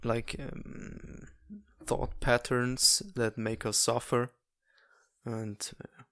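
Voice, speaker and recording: Swiss non-native podcast host, young man, slow and monotone, lots of hesitations, dry close sound. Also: mid-range voice, no room sound. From Switzerland. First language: not English. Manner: halting, many fillers and restarts. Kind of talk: casual solo monologue.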